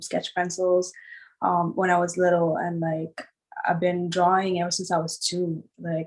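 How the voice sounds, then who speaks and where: Low, flat-voiced young woman. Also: poor audio. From Sri Lanka. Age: 20s. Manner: relaxed, many fillers like "um" and "like". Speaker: guest artist. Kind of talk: casual interview answers.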